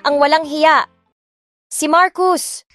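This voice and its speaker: A woman's voice: high-pitched, fairly even intonation, slow, over music. Dramatic woman narrating a romance audiobook. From Philippines. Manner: dramatic, indignant, mixing Tagalog and English.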